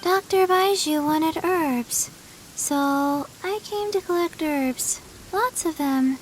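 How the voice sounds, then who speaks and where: A woman voicing a small girl in a game who talks slowly in simple, halting phrases. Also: high voice, a little hiss or hum, low-quality recording. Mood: flat. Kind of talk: game voice acting.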